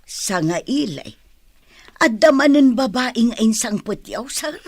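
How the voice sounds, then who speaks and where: Husky, animated household helper woman. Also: a little high, takes it slowly, dry recording, heavily acted. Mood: deferential. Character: gossipy household helper woman, bossy but kindly. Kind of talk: Ilocano radio drama acting.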